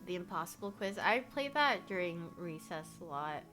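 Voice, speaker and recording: A woman's voice: fairly low pitch, animated, moderate pace, sharp tone, soft background music. A young woman whose first language is Korean, livestreaming casual chat with viewers. She rambles, fills her talk with "like," and laughs often.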